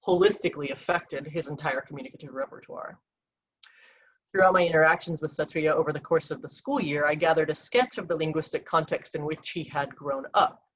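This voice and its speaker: A measured academic voice, mid-pitched and unhurried, reads a case study aloud to an audience. The delivery is careful and scripted, with the odd "um" and small restarts as the speaker moves through the slides. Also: a bit bright, rough recording. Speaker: researcher presenting a case study.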